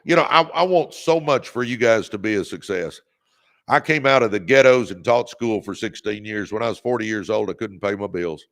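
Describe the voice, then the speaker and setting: Booming, gravelly motivational man. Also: normal speed. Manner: energetic, repetitive pep talk. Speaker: podcast host. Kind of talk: live-stream motivational talk.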